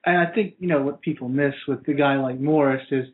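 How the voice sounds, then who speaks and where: A man's voice: mid-range voice, fairly quick. A man co-hosting a casual sports chat, heard over a dull, lo-fi link. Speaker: sports podcast co-host.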